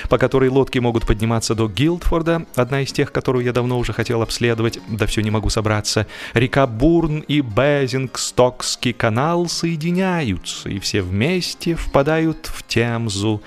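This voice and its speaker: A man narrating humorous literary prose in a wry, measured, expressive theatrical manner. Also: mid-range voice. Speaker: audiobook narrator.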